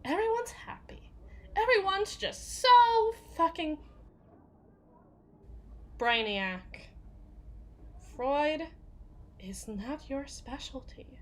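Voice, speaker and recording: A woman's voice: high voice, lively intonation, drawn-out words, long pauses, heavily acted. A woman playing a tough, foul-mouthed, guarded bodyguard for a villain in an ASMR roleplay, with a sardonic, slangy delivery. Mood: defensive, brittle, then bitter, defensive. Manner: sardonic, swearing, casual slangy drawl. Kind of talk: ASMR roleplay, acted monologue.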